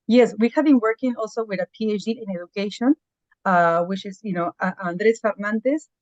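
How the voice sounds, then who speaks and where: Woman doctor, earnest and halting, on a dull, dry line. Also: medium pitch, dry recording. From Peru. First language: Spanish. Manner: earnest, with fillers and non-native phrasing. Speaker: physician guest. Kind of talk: podcast interview.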